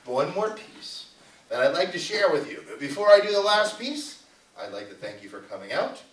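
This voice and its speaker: Man, higher-pitched, puppeteer presenter, informal and playful, instructive, echoey room, distant mic. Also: very animated, a little fast, a bit bright. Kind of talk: live talk to a family audience. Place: indoor venue, live show.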